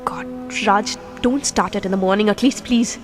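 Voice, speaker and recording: A woman's voice: medium pitch, steady tone, normal speed, big-room sound, over music, natural voice. An actress with an Indian English accent playing a direct, spirited young woman in an audio drama. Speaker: young woman in a radio play.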